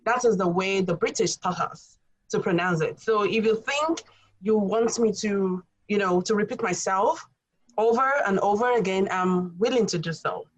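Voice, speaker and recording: A woman from Nigeria speaks with a Nigerian accent, pitched in the middle. She is a college English instructor sharing experiences on a podcast panel, earnest and full of "um" and "you know". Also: highs cut off. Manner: storytelling, earnest, many fillers. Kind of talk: roundtable podcast discussion.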